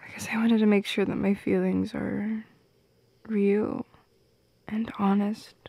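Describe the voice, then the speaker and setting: Woman as shy teasing goth girl for ASMR roleplay. Breathy, whispery, creaky, unhurried. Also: mid-range voice, level tone. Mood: tender, nervous. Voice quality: soft and breathy, slipping into whispers. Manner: hesitant, teasing, halting with small laughs. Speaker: audio roleplay performer. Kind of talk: intimate ASMR roleplay acting. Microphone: close microphone in a dry space.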